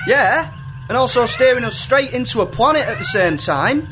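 A man's voice: extremely high pitch, lively intonation, normal speed, over music, poor audio. A man playing a pompous, lecherous starship captain in a comedy radio play, grandiose but chummy. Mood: exasperated. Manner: grandiose narrating, slipping into chummy banter.

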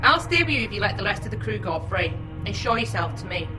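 A woman's voice: medium pitch, big pitch swings, fast talker, music in the background, close to an everyday voice. A woman playing a sassy, logical female ship's computer in a comedy radio play, sarcastic and condescending. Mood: bargaining. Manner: sarcastic, condescending, matter-of-fact.

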